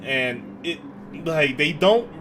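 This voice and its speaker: Casual male streamer with a slow, lively voice who laughs a lot, over noisy audio. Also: on the high side. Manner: laughs often, trails off and restarts.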